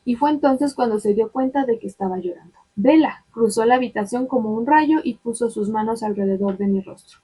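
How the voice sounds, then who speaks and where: A woman reading a novel aloud in an expressive way, sometimes stumbling and restarting words, with chatty asides to her viewers. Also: a little high, brisk, hardly any pauses, slightly rough, low-quality recording.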